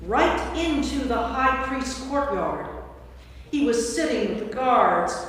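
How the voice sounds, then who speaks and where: A woman narrator reading scripture from a script in a staged church reading. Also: on the high side. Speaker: narrator, priest. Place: church, recorded from a distance. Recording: very echoey church, distant mic, faint noise.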